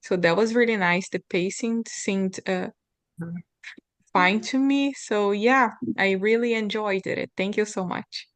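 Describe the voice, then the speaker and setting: A woman's voice: medium pitch, measured pace. Woman giving casual, reflective feedback.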